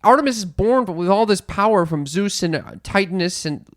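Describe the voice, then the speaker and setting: A woman's voice: mid-range voice, animated, moderate pace, no room sound. A woman hosting a podcast, moving between casual chat and reading promotional copy. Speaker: podcast host.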